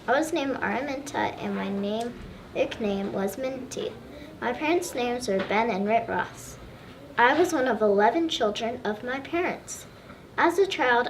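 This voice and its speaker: A girl of about eight or nine reciting a first-person school presentation as a historical figure. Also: fairly high pitch. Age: child, about 8 or 9. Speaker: third grade student presenter. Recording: distant mic, faint room noise.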